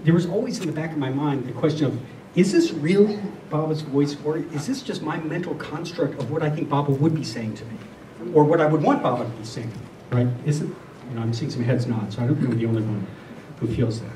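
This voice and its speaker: A middle-aged or older man giving a reflective spiritual talk to a group, speaking earnestly and deliberately, often repeating phrases. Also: fairly high pitch, dark tone. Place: room with a listening group. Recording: roomy, distant mic, faint noise.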